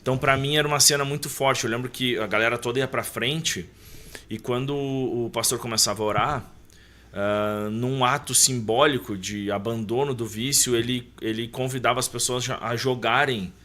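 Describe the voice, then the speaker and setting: A man in his thirties speaks as a podcast guest, with a bright, forward voice at an average pitch. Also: fairly even intonation, normal speed. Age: 30s. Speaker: interview guest. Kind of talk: conversational podcast storytelling.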